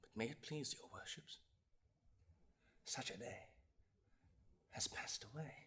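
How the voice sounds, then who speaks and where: A man's voice: a little high, sing-song. Man acting an impassioned, wide-swinging colonial lawyer. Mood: grave, bitter. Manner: theatrical, swinging from hushed to thundering, with long pauses. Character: impassioned young 18th-century lawyer, indignant defender of liberty. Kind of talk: dramatic courtroom oration monologue.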